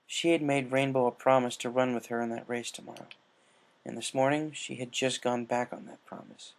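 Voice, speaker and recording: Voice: mid-range voice, steady tone, brisk. A narrator reading fan fiction aloud as scripted storytelling. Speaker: story reader.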